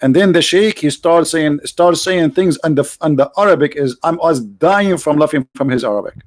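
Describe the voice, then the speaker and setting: A man's voice: on the high side, moderate pace, no room sound. A man hosting a livestream, talking casually and sarcastically, with many restarts and fillers. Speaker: livestream host.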